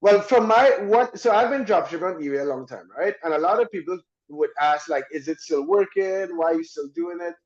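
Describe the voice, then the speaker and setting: Chatty host, echoey lo-fi sound. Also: male voice, high-pitched, animated, a little fast, hardly any pauses. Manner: eager, chatty, rambling with restarts. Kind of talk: casual interview.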